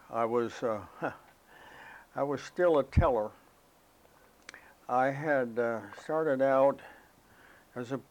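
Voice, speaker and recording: An elderly American man recalling his life as a veteran in an interview, speaking in a halting, reflective, unhurried way. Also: mid-range voice, highs cut off. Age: elderly, 80s or older. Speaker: interviewee, war veteran recalling military service. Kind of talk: oral history interview, personal recollection.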